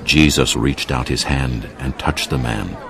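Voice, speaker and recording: Casting: male scripture narrator, extremely deep with creaky fry, measured and solemn, faint music underneath. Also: steady tone, crowd noise. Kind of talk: scripted narration of dramatised audio Bible.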